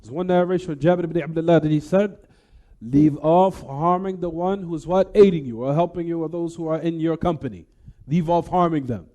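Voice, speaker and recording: A man with a fairly high yet soft, mellow voice delivers a religious lecture. He explains texts in a didactic way, repeating key phrases and posing questions to those listening. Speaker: religious teacher giving a lecture.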